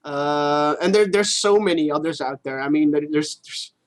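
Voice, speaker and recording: Man, fairly high voice, casual gaming talk, opinionated, loose swearing, co-host. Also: normal speed.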